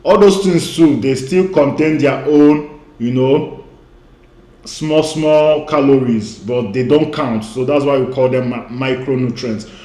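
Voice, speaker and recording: A man's voice: mid-range voice, a little slow, warm tone. Emphatic male coach in echoey room. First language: Igbo. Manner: emphatic, repeats key points, frequent "you know". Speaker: weight-loss coach. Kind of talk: online lecture.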